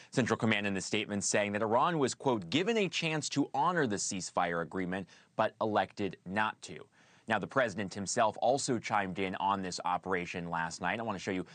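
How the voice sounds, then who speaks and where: Low-voiced American male news correspondent. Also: a bit bright, dry recording. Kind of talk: live news report.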